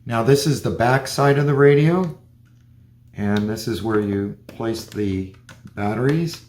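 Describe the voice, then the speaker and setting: A man's voice: mid-range voice, unhurried, darkish tone. A man giving a hands-on gadget review, explaining features plainly and methodically, step by step. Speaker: product reviewer.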